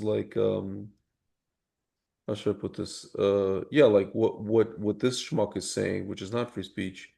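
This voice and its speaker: A man with a lowish, creaky voice and a dark, mellow tone talks as a podcast co-host. He is colloquial and insistent, repeating himself to drive points home in casual, opinionated discussion.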